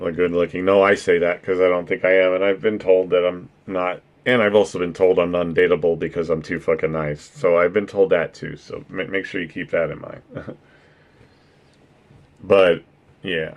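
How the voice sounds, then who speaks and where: Deep-voiced joking man streaming. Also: flat delivery, normal speed, vocal fry, lo-fi sound. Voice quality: naturally deep voice. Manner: joking and profane, laughing often. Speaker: streamer and podcast host. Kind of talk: casual chat with a live stream audience.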